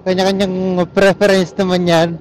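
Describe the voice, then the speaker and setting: A Filipino man with a high-pitched voice talks in a casual, rambling vlog style, slipping easily between Tagalog and English. He speaks into a helmet mic while riding, with faint vehicle and road noise around his voice. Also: flat delivery, normal speed, darkish tone, rough recording. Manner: easygoing Taglish code-switching. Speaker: motorcycle vlogger. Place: outdoors on a motorcycle, inside a helmet. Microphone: helmet intercom or action camera mic.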